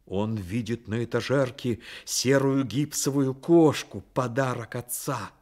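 A man's voice: mid-range voice, a little slow, a little mellow. A man reading scripted narration as the storyteller of a radio drama. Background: music.

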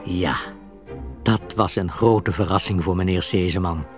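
A man's voice: low voice, measured pace, soft background music. A man narrating a scripted children's story.

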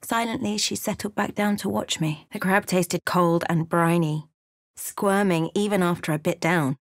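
A woman playing a wry, watchful adventurer in a video game, telling of events in a measured, dramatic way. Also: fairly low pitch, steady tone, no echo, clean studio sound, close to an everyday voice. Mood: measured, evocative. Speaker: game character voice actor. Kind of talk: video game voice lines, narration and barks.

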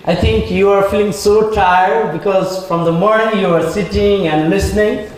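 Nepali pastor, 30s, earnest and halting, higher voice, big echoey hall, handheld mic. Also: male voice, steady tone. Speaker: visiting pastor and preacher. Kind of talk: conference sermon in English, interpreted line by line. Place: large hall stage with red curtain.

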